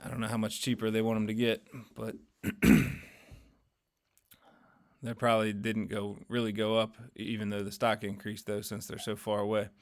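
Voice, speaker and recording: A man walks through his fund's daily trades in a loose, rambling recap, his voice low, gravelly and fairly flat. He keeps stopping to correct himself and fill gaps with ums. His voice is getting hoarse as he goes. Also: normal speed. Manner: conversational, rambling, many fillers and self-corrections. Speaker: finance channel host. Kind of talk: casual screen-share market recap.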